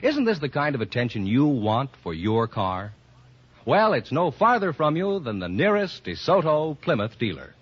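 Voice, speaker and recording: A man's voice: mid-range voice, sing-song, moderate pace. An American man announcing and reading sponsor messages in polished, salesmanlike 1940s radio style. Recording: muffled vintage radio broadcast recording.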